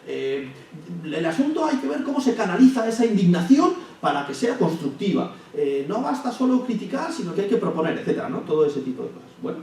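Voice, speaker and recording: A man giving a public lecture, measured and thinking aloud with frequent restarts and hesitations. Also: sits high, very animated, a little mellow. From Spain. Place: lecture hall. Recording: echoey hall, from far off, faint hiss.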